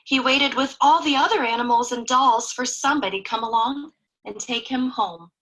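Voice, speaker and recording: A woman's voice: fairly high pitch, moderate pace, a bit bright, poor audio. A woman reading a picture book aloud expressively to children, in a chatty way with young listeners. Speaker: children's story reader.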